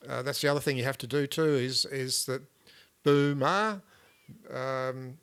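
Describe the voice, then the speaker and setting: A man hosting a vlog, chatting casually in a relaxed, rambling way with lots of fillers and restarts. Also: medium pitch, unhurried, clear, resonant tone. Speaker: vlog host. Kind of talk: casual chat.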